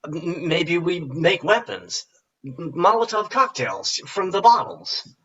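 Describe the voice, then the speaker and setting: A man playing an anxious, conciliatory survivor in a horror script reading, with a raspy, hesitant voice. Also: on the high side, sing-song, slow, pushed and bright, heavily acted. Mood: anxious, pleading. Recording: dull, distant microphone.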